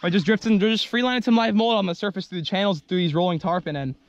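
A young man hosting a fishing vlog from a small boat, giving excited commentary and shouting as he fights a fish. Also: sits high, racing, no echo, lo-fi sound. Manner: hyped, shouting during the fight. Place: small boat on open water outdoors. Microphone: action camera on boat. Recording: loud wind and water noise.

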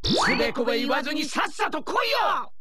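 An actor voicing a brash, combative, challenging fighter with a gravelly edge in an anime game. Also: high-pitched, big pitch swings, brisk. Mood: impatient, challenging. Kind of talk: anime game battle lines. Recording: loud game effects and noise.

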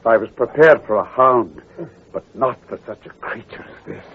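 An actor playing a sharp, commanding middle-aged detective, giving terse orders in 1940s radio acting style. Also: male voice, medium pitch, moderate pace, breathy edge. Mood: calm resolve. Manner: clipped, authoritative, gives terse orders. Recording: scratchy lo-fi 1940s radio recording with hiss.

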